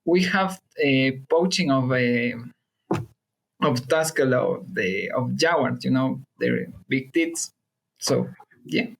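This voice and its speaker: Halting man with Spanish accent. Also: mid-range voice, expressive, vocal fry, low-quality recording. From Ecuador. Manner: halting, restarts often, apologetic. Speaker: podcast guest.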